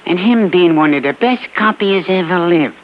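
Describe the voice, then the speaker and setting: High-voiced wheedling American street informant. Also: male voice, expressive, moderate pace. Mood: sly, insistent. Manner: wheedling, slangy, ungrammatical. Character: small-time male street informant, wheedling and shrewd. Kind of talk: 1950s radio crime drama acting. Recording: muffled old radio recording, faint noise.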